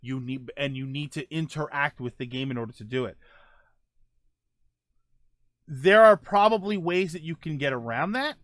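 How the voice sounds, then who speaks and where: A man with a slightly high voice, recorded close and dry. He hosts a gaming show in a casual, conversational style, rambling a little as he thinks aloud and answers viewer comments. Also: fairly quick, fairly bright tone, no echo. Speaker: host of a gaming show, streamer.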